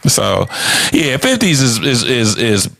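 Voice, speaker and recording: A man's voice: mid-range voice, big pitch swings, takes it slowly, creaky, a bit bright, no room sound, studio quality. A man in his fifties, talking in a blunt, profane, frank way as a co-host of a casual podcast chat. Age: 50s.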